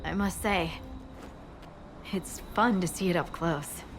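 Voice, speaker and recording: An actress playing a wry, friendly young scout in a fantasy game, speaking in a conversational, teasing way. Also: female voice, on the low side, slow pace, music in the background. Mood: amused. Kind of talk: naturalistic fantasy video game acting.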